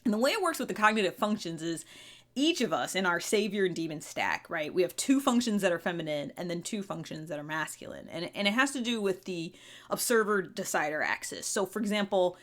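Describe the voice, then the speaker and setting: Woman podcaster rambling thru her ideas, lots of likes and restarts, kinda low voice. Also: sing-song, a little fast, fairly bright tone. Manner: chatty, frequent fillers and restarts. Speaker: podcast host. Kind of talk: casual explanatory monologue.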